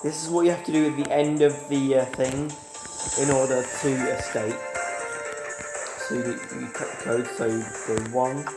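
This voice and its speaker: A man with a cold, sniffling, chatting casually to his viewers while he plays, and shouting when things go wrong. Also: mid-range voice, fairly even intonation, normal speed. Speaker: gaming YouTuber. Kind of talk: casual gameplay commentary. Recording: roomy with loud game music.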